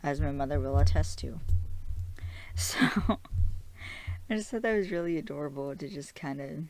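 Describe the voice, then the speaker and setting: A woman's voice: sits low, normal speed, mellow, a little hiss or hum. A woman hosting a fan show, recapping a TV episode in a casual, chatty way with lots of fillers and small giggles. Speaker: podcast host.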